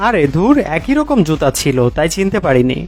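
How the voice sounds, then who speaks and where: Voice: medium pitch, sing-song, fairly quick, noisy background, lightly acted. A performer playing a teasing, wheedling older relative in a comic cartoon, bantering, correcting and making excuses.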